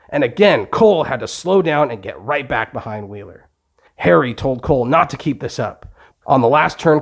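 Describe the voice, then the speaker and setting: A man's voice: a little high, gravelly. A man reading a scripted bedtime story for children, telling it in simple, explanatory terms. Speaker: narrator.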